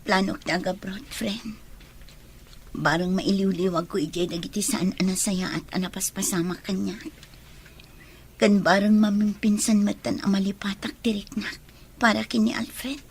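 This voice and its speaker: A woman playing a remorseful, sorrowful young woman in a romance drama, speaking in a subdued, breathy way. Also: medium pitch, fairly even intonation, normal speed, a little hiss or hum, natural voice. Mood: wistful resignation. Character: remorseful young woman leaving her friends, sorrowful and apologetic.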